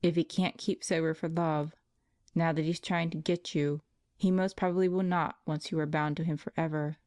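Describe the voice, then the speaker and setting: A woman's voice: low voice, little pitch movement, darkish tone, no echo, clean studio sound, close to an everyday voice. Woman voicing a solemn old priest. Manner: measured, solemn. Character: grave elderly male priest, kindly.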